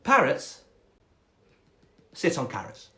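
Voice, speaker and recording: A man voicing a snobby, bossy storybook cat in a haughty, drawn-out, insistent way. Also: medium pitch, wildly swooping pitch, pushed and bright, reverberant, exaggerated voice. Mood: smug, insistent.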